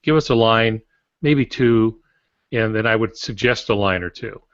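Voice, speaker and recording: A man's voice: lowish voice, measured pace, smooth, dry recording. An older man co-hosting a writing podcast in casual, instructional discussion, telling anecdotes to make his points. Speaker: podcast co-host and writer. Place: small indoor home office. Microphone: video call. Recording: dull, lo-fi video call audio.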